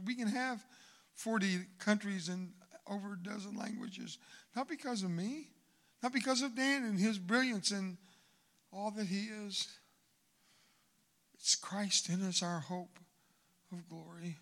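An older man preaching a sermon in church, swinging from loud exhortation to hushed asides. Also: high voice, moderate pace. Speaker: guest preacher. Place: church sanctuary.

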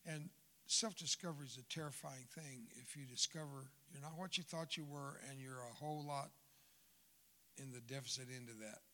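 A man's voice: mid-range voice, normal speed. Folksy older male preacher in his seventies. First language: Spanish. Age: 70s. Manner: folksy, joking, loud and warm to the crowd. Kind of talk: sermon. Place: church sanctuary.

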